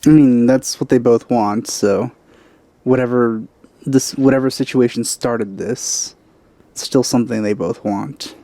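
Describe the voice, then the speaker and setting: A man's voice: mid-range voice, fairly even intonation, unhurried, mellow, dry recording. A man giving casual, offhand reactions as a let's play streamer, speaking bluntly.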